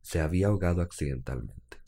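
Mexican dude cohosting a live stream, really low deep voice, kinda warm, jokes around and laughs a lot. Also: male voice, animated, fast. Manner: joking, laughs often, uses slang. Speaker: podcast co-host. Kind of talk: casual live-stream chat and true-crime storytelling.

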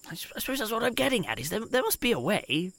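A man with a creaky, gravelly voice and very lively pitch, recorded close and dry. He improvises at a gaming table, hesitant and wordy, with a wry streak. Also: racing, dry recording. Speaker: player in a tabletop role-playing show. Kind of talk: improvised in-character table talk.

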